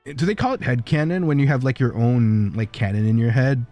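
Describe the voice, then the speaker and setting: A man's voice: medium pitch, fairly quick, mellow, no echo. A male streamer chatting casually, rambling with lots of fillers and frequent laughter. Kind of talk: casual livestream chat. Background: faint background music.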